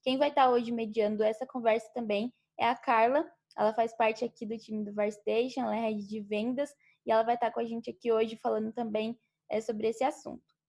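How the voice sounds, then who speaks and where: A woman's voice: on the high side, level tone, moderate pace, darkish tone. A woman hosting an online panel, welcoming the audience and introducing guest speakers in a presenter's style. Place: remote video call.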